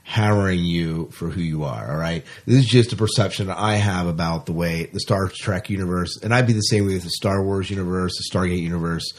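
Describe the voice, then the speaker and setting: A man's voice: deep voice, fairly quick, few pauses. A man chatting casually as a podcast co-host, rambling along and often repeating his words and phrases.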